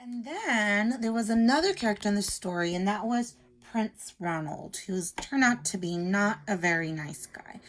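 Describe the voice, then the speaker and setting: A woman's voice: mid-range voice, lively intonation, normal speed, hardly any pauses. A woman teacher reading aloud and explaining a simple lesson to young children step by step. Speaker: elementary school teacher.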